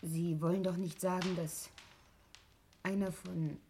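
An actress playing an anxious, loyal stepdaughter who protests earnestly, in a German 1960s radio play. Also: female voice, lowish voice, steady tone, a little slow, mellow. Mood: protesting, alarmed. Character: anxious, loyal stepdaughter defending her household. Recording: noisy vintage radio recording.

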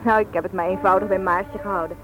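A woman's voice: mid-range voice, steady tone, quick pace, fairly bright tone, faint music behind, lightly acted, low-quality recording. A woman playing a friendly, unpretentious young student and aunt in a radio play, chatty. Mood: cheerful. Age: young adult. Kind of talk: vintage Dutch radio play acting.

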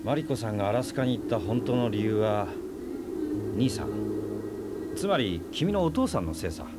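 A man's voice: mid-range voice, big pitch swings, normal speed, very noisy, close to an everyday voice. A man playing a talkative, teasing family acquaintance in a Japanese radio drama, speaking in a casual, knowing and provocative way. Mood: knowing, revealing. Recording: 1990s FM radio drama on cassette, music behind.

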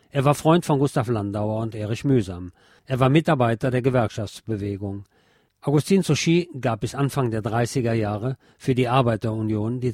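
A man narrates a scripted historical programme. His voice sits at a middle pitch, and the recording is dry, with hardly any room sound. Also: darkish tone, no echo. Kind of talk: scripted documentary narration, reading a historical text.

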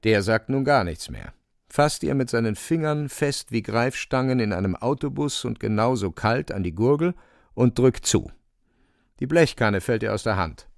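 Gravelly, lively male radio drama narrator. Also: medium pitch, moderate pace, no room sound, clean studio sound. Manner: vivid, suspenseful storytelling.

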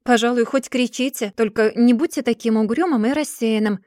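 A woman's voice: fairly high pitch, brisk, a little mellow, no echo, studio quality, close to an everyday voice. Woman reading as a haughty cold society wife. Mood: scolding. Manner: cool, scolding, superior.

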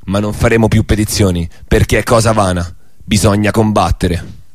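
A man playing a defiant rebel leader in a radio drama, reading a threatening manifesto in a stern, declamatory, deliberate way. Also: on the low side, fairly even intonation, natural voice. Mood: solemn defiance.

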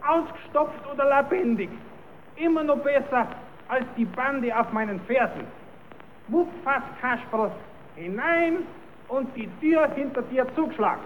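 An actor playing a cheeky comic puppet-theatre clown in an old German radio play, talking theatrically to himself and others. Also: male voice, very high voice, lively intonation, measured pace, distant mic. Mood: flustered. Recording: old noisy radio recording, roomy and muffled.

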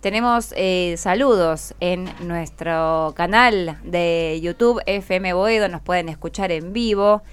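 A woman's voice: on the low side, takes it slowly, hardly any pauses, clean tone. A woman with a Buenos Aires accent hosting a live radio show, chatting with guests and reading out listeners' greetings. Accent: Argentine, Buenos Aires. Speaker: radio host. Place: community radio studio. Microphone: studio microphone.

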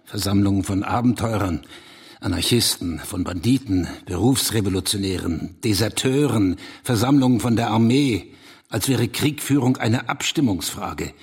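A man's voice: on the low side, lively intonation, normal speed, a little mellow, husky, exaggerated voice. An actor playing an indignant conservative man in a German radio drama, accusatory and contemptuous, given to rhetorical questions. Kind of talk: German radio drama dialogue, polemical debate.

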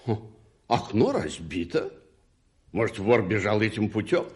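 An actor playing a haughty, curious aristocrat in a radio drama, with theatrical, imperious questioning and a husky voice. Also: male voice, fairly low pitch, very animated, a little slow, a little mellow. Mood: startled, suspicious.

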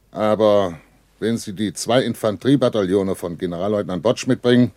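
An actor playing a worried, dutiful German army captain in a 1960s radio play, earnest and formal with superiors. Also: male voice, lowish voice, darkish tone, no room sound, lightly acted. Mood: formal, uneasy. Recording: dry early 1960s radio studio.

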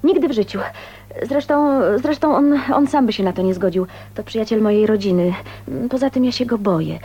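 High-voiced, flustered young bride. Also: female voice, fairly quick, dark tone. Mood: flustered, anxious. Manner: hesitant, repeats words, breathy exclamations. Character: shy but eager young bride, nervous, earnest. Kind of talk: radio drama acting. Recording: slightly dull, noisy 1980s radio recording.

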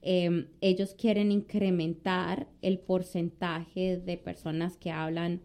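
A woman's voice: on the low side, level tone, slow, warm tone. A middle-aged woman, an immigration lawyer co-hosting a podcast, chatting casually and hesitantly with frequent restarts and fillers. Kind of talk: casual conversational chat.

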